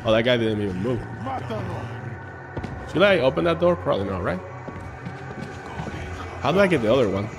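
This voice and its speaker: A man's voice: medium pitch, big pitch swings, normal speed, vocal fry, pauses often. A man in his thirties streaming a horror game live, joking and laughing at himself as he plays, on a headset mic. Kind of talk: live gameplay commentary. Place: home room, indoors. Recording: game music behind voice.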